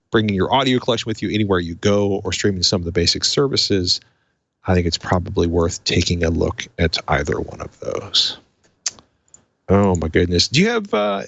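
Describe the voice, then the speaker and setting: A man's voice: low-pitched, fairly bright tone, no room sound, highs cut off. A man hosting a casual tech show, chatty and conversational, with wry asides. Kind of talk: casual tech podcast chat.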